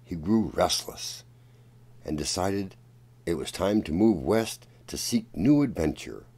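Deep, slow male storybook reader. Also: slightly rough. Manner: deliberate, expressive storytelling. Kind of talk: read-aloud storybook narration.

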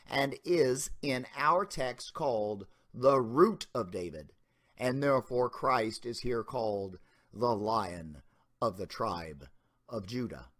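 A man giving a dramatic reading of an old sermon as a pastor, in solemn, deliberate, emphatic formal oratory. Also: medium pitch, fairly bright tone.

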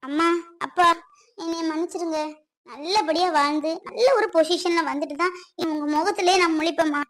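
Woman playing a frightened, very high-voiced young woman. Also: normal speed, fairly bright tone. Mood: anxious, prayerful. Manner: timid, pleading, anxious. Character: frightened young woman hiding in a rented room. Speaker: young woman who ran away from home with her partner.

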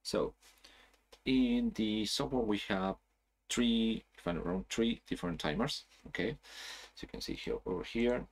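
Low-voiced man explaining software, chatty. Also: a little slow, gravelly. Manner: casual, explanatory, frequent "okay?" checks. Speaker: software trainer. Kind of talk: screen-share tutorial.

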